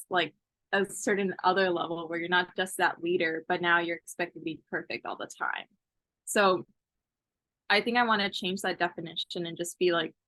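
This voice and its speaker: A woman's voice: on the low side, sharp tone, no room sound. A woman in her twenties, a college student from Ethiopia raised in Texas, answering interview questions casually with lots of fillers. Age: 20s.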